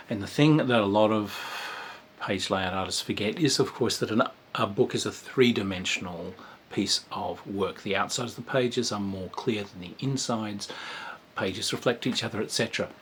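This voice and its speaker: A man giving a casual, rambling review of a tabletop game, with a wry, dark humour and plenty of fillers and restarts. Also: fairly low pitch, slightly rough.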